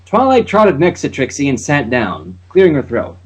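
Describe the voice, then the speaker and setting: A man reading fan fiction aloud in an expressive, giggly way, with character voices and asides. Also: medium pitch, moderate pace, breathy edge. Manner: expressive, giggly, stumbles and breaks off.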